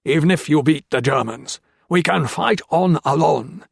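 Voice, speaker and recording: A man's voice: fairly high pitch, normal speed, creaky, slightly rough, no echo, studio quality, pitched above the performer's own voice, heavily acted. A male narrator voicing a snarling, menacing Japanese camp officer in a wartime audiobook. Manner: snarling threats.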